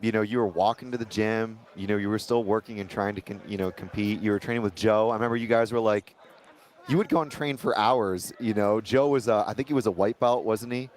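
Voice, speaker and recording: A man's voice: lowish voice, a little fast. A man interviewing an old friend, asking friendly, rambling questions full of fillers. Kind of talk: conversational interview.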